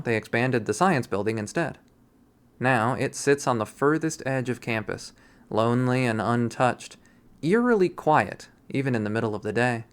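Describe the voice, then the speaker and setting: A man reading a visual novel aloud in expressive first-person narration. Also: mid-range voice. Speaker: visual novel readthrough player.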